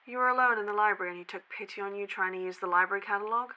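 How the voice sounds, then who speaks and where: A woman's voice: mid-range voice, rapid-fire, sharp tone, lightly acted, low-quality recording. A woman playing a skeptical young college student and podcast co-host in an audio drama, dry and sardonic in manner. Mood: doubtful, sarcastic. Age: young adult.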